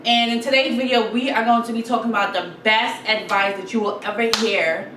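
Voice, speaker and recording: A young Guyanese woman talks through a sit-down vlog in a chatty, animated way, and her voice sits a little on the high side. She sounds a bit away from the mic in a roomy, echoey space, with a faint hiss underneath. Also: fairly quick. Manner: animated, chatty, repeats herself, reads quotes aloud. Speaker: YouTube vlogger. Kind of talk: casual sit-down advice chat. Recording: roomy echo, slightly distant mic, faint noise.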